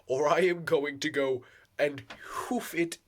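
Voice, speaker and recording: A man with a sore, hoarse throat, rambling casually in a vlog, swearing and laughing often. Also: a little high, slow pace, fairly bright tone. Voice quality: hoarse, voice cracking. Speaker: vlogger.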